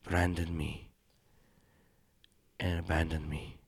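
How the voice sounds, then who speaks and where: A man plays an ancient spider-bodied outcast, solemn and world-weary, speaking in a very deep, slow, hushed voice that often drops to a whisper. The halting phrasing suggests someone for whom English is a second tongue. The sound is close and dry, with no room around it. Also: flat delivery, creaky, slightly rough, no room sound, exaggerated voice. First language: not English. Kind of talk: in-character tabletop roleplay.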